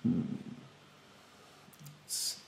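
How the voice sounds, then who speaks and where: A man in his twenties doing an ASMR roleplay as a rude beautician, speaking softly and breathily, often near a whisper, in a haughty, condescending way. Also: very slow, reverberant, a little hiss or hum. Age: 20s. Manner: haughty, condescending, politely insulting. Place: small bedroom, indoors.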